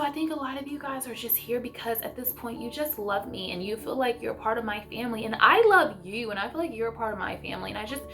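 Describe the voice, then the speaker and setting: Female homeschool vlogger, slightly high voice, effusive and supportive, informal direct address over music. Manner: effusive, encouraging, direct to viewers. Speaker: homeschooling YouTube host. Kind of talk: casual vlog chat.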